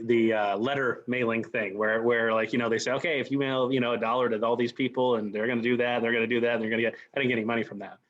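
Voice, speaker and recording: Through a dull, lo-fi call connection, a man in his forties speaks at a medium pitch. He tells his personal story as a guest, casually and a little haltingly, with a self-deprecating touch. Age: 40s. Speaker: company president, guest speaker.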